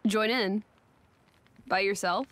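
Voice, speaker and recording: A woman's voice: mid-range voice, steady tone, takes it slowly, a bit bright. An actress playing a frank, proud, earnest young woman companion in a video game, direct and candid. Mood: questioning, firm. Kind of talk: video game cutscene acting.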